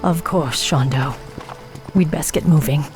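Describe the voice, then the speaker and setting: A woman's voice: low voice, unhurried, a little mellow, soft background music. A woman playing a hard, driven night elf warden in a video game, stern and clipped, with a commanding, impatient manner. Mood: brisk, dutiful. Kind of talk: dramatic video game voice acting.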